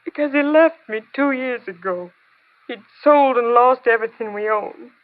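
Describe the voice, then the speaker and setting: A woman's voice: fairly high pitch, normal speed, natural voice. An American woman acting a poor, abandoned mother in an old radio drama, weary and bitter, with an emotional, melodramatic delivery. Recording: tinny, narrow-band old radio recording.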